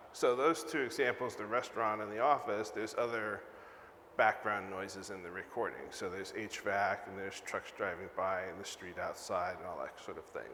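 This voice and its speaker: Older male conference presenter, lowish pitch, emphatic explainer, echoey stage sound. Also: bright, forceful tone. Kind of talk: technical lecture with slides. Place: large conference hall stage, indoors. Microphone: lapel mic. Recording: echoey hall PA, some background noise.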